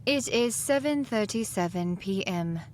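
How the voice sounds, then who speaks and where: An actress as a female virtual assistant in an audio drama. The delivery is calm, measured and neutral at a middling pitch, with a little background noise. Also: close to an everyday voice. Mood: neutral, obliging.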